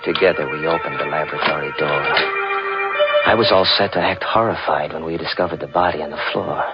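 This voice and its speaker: A man's voice: extremely low pitch, fairly quick. An actor playing a young man who murdered for immortality, narrating his tale feverishly in a 1940s radio drama. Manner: feverish first-person narration, rising to frantic. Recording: dull old radio recording with music.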